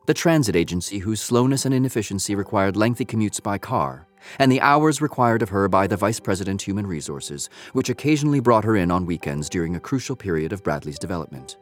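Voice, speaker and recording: A man narrating a scripted audio drama in a storytelling style. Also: lowish voice. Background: music.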